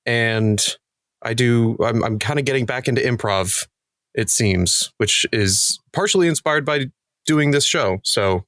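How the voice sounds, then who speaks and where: A man's voice: mid-range voice, measured pace, fairly bright tone, dry recording, studio quality. Male comedian castmate, mid-range voice, wry and conversational. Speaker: podcast cast member, actor and comedian. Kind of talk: casual chat.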